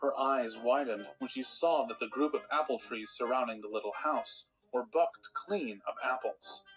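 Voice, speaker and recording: A man playing a patient storybook narrator, measured and dry, with a long-suffering manner. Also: mid-range voice, fairly bright tone, faint music behind, lightly acted, rough recording. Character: patient storybook narrator turning exasperated when interrupted.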